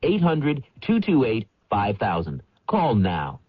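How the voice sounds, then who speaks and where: A man's voice: mid-range voice, wildly swooping pitch, takes it slowly, slight background noise. A man reading a scripted financial commercial as a radio announcer. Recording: dull vintage radio commercial.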